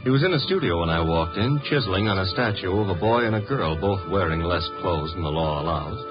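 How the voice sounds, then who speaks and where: An actor playing a streetwise, wisecracking private detective's assistant in a 1950s radio drama, with a breezy, sardonic, slangy manner. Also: male voice, low-pitched, lively intonation, brisk, music in the background. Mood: wry. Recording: muffled, noisy 1950s radio recording.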